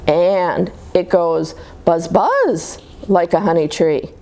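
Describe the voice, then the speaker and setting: A woman's voice: fairly low pitch, animated, slow pace, mellow, slight background noise, pitched below the performer's own voice. A woman voicing a boastful, confident father bear in a children's storybook, making pompous, emphatic pronouncements. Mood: self-assured.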